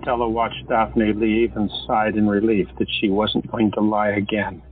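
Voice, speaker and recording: A man narrating an audio drama in a steady storytelling manner. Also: lowish voice, a little slow. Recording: tinny phone-like sound, faint music.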